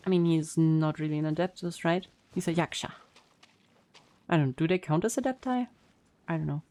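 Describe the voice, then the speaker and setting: A woman's voice: sits low, moderate pace, mellow. A woman streaming a game with casual, playful, teasing live reaction commentary. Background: game audio and music.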